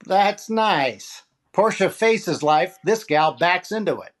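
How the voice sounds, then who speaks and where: A man's voice: high voice, expressive, takes it slowly, fairly bright tone, full character voice. Wisecracking male comedy star, acted by a man: vain, plaintive, wry, 1940s radio comedy timing. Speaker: actor in a radio comedy recreation.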